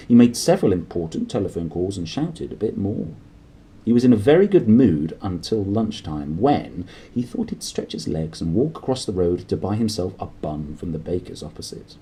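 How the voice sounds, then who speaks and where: Animated, low-voiced man narrating a story. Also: slight background noise, low-quality recording. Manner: theatrical, expressive. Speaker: audiobook reader. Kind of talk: dramatic scripted storybook narration.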